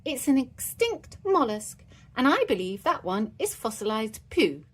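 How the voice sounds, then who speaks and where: Casting: woman to play brainy small elephant boy for a storybook, raised pitch, precise, earnest explanations. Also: very animated, a little slow, husky, heavily acted. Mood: matter-of-fact. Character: clever young boy elephant, earnest little know-it-all.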